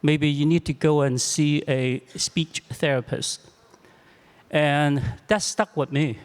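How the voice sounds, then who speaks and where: A man in his sixties with a Chinese accent, a clinician on a panel, speaking in a halting, humble and reflective way, often repeating words. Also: fairly high pitch, steady tone, clean tone, big-room sound. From China. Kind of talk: panel discussion.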